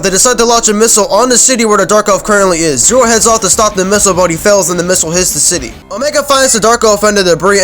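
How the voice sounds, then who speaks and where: Fast-talking, high-voiced man over music. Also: a bit bright. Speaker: video game reviewer. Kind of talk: fast scripted recap narration over game footage. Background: background music.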